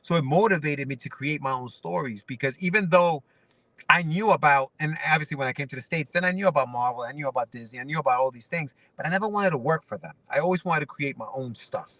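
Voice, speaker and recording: A man's voice: on the high side, fast talker, a bit bright, no room sound, recorded from a distance, poor audio. A man in his forties from Ecuador, a guest comic writer chatting casually in an interview, rambling with many restarts and fillers. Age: 40s.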